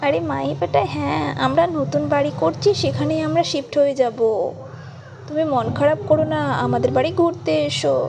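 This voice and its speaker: Woman acting as gentle uncle doll, reassuring, kindly, lower-set voice, children's puppet show, slightly noisy home recording. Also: steady tone, brisk, gravelly. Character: kindly grown-up man, a gentle father and uncle.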